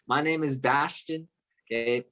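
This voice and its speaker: A man's voice: medium pitch, unhurried, pushed and bright. Motivational male host from Chile, repetitive and enthusiastic. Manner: enthusiastic, repeating phrases with frequent "okay?" and "right?" tags. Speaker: host of an online group call. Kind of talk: motivational talk to a live online audience.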